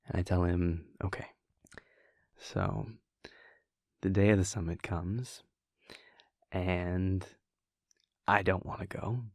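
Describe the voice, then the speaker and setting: A young man playing a laid-back, wry vampire prince boyfriend in an intimate audio roleplay, telling a story in a hushed, halting, amused way. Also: sits low, fairly even intonation, dry recording, clean studio sound, heavily acted. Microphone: close, dry studio microphone.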